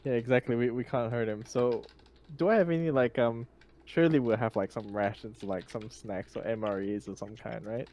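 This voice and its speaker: A man with a soft, mellow voice at a middle pitch. He talks casually, as a player working through a tabletop roleplaying scene. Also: fairly even intonation, normal speed, dull audio.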